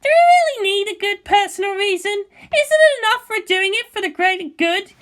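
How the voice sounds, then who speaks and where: A woman voicing a fiery, haughty young female pilot in an audiobook story, sharp and challenging. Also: very high-pitched, expressive, normal speed, pitched above the performer's own voice. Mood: scornful, defiant.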